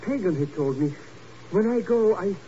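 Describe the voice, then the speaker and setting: An actor playing a greedy, hypocritical schemer in a 1950s radio drama, with an ingratiating, hesitant stammer. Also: male voice, sits high, animated, moderate pace, warm tone. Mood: sly, wheedling. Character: wheedling, greedy, hypocritical male schemer, an agent's sidekick. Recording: muffled, noisy old 1950s radio recording.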